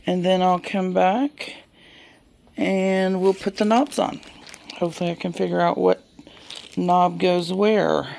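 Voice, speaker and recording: A woman's voice: mid-range voice, creaky, darkish tone. A woman with a Southern US accent talks casually and folksily as she demonstrates a hands-on DIY job, filmed on a handheld camera. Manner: folksy, unhurried, murmuring along while working. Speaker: DIY vlogger.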